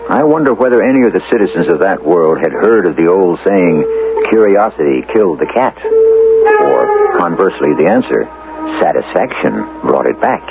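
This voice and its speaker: A man hosting a radio drama, narrating from a script in a measured, portentous and theatrical way. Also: deep voice, wildly swooping pitch. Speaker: host and narrator. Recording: narrow, tinny old radio recording. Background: music.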